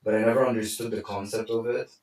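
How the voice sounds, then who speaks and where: A man's voice: fairly low pitch, steady tone, fast, darkish tone. A man from Lebanon, a consultant, chatting casually and rambling with lots of fillers and restarts. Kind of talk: casual conversation. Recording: echoey room, mic far off.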